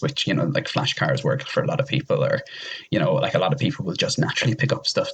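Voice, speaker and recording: A man with a low-pitched voice that has a husky edge. He talks casually as a podcast panelist, rambling conversationally as he offers advice. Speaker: podcast panelist giving language-learning advice.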